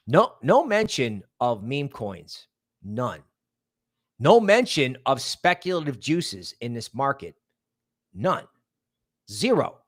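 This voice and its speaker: Guy doing a casual livestream, kinda high voice, rambles, hits words twice for emphasis. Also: a bit bright. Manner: emphatic, repeats words for stress, rambling asides. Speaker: livestream host. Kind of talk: casual livestream chat with viewer shout-outs and promotion.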